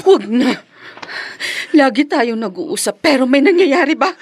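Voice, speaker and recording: A woman's voice: high voice, lively intonation, moderate pace, breathy edge. An actress playing a hurt, fed-up wife and mother in a radio drama, tearful and impassioned. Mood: hurt, accusing.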